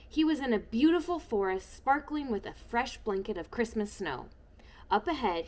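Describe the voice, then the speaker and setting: Woman reading a kids christmas book out loud, super lively sing-songy, goes quiet then bright. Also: medium pitch. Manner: expressive, shifting between hushed and bright. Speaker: storybook reader. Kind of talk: children's book read-aloud.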